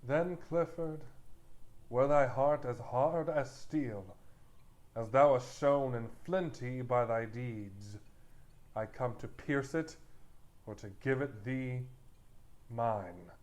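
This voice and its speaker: An actor playing a vengeful warrior in a Shakespearean audio drama, declaiming with menace. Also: male voice, mid-range voice, slow pace, pauses often, darkish tone, close to an everyday voice. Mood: vengeful challenge. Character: vengeful young warrior challenging his flint-hearted foe.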